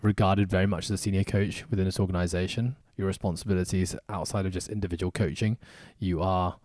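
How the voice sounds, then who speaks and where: A man's voice: sits low, level tone, fast talker, a little mellow, no room sound, clean studio sound. A man hosting a podcast in casual interview style, chatty and upbeat with joking asides. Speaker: podcast host. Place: podcast studio in Hong Kong.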